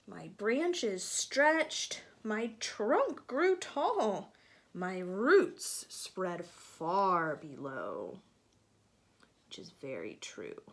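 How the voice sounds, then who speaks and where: Slow, patient woman reading a storybook. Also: mid-range voice, sing-song. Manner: patient, explains with questions to young listeners. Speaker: teacher reading to children. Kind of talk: picture-book read-aloud with teaching asides.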